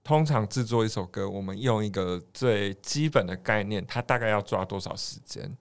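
Low-voiced male podcast host. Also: normal speed, clear, resonant tone. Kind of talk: casual interview questioning.

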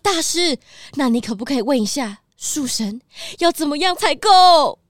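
A woman's voice: high voice, lively intonation, normal speed, no echo, clean studio sound. A woman playing an anxious, earnest townsperson in a children's audio drama, exclamatory and pleading.

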